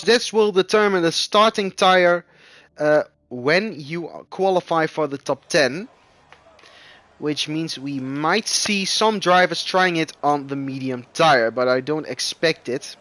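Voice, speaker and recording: Excitable Dutch man calling sim racing. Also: fairly high pitch. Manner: excitable, rising loud bursts at crashes. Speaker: esports race commentator. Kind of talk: live sim racing commentary.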